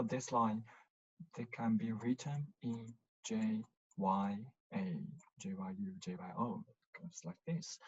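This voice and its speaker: A language teacher gives a pronunciation lesson in a slow, measured way. The delivery is instructive, with syllables drilled one by one and short checking questions along the way. The audio is somewhat dull and lo-fi. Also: medium pitch, darkish tone.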